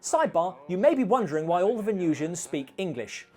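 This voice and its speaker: A woman's voice: on the low side, very animated, a little fast, darkish tone. A woman narrating a scripted film review in a dry, sarcastic manner.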